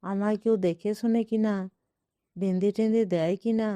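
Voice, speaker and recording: A woman's voice: mid-range voice, steady tone, moderate pace, dark tone, no echo, natural voice. A woman playing a fond middle-aged uncle in a storybook, speaking in an indulgent, teasing manner to his niece.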